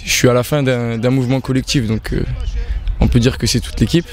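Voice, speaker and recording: A 19-year-old man from Belgium giving casual interview answers, speaking hesitantly in short phrases with pauses. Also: mid-range voice, fairly even intonation, a little mellow. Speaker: rugby player and youth coach.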